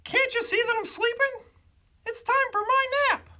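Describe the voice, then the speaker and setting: Baby bird, extremely high-pitched, bright, cheerful, chirpy, storybook character. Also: steady tone, normal speed, exaggerated voice. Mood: indignant. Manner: repetitive chirped nonsense syllables.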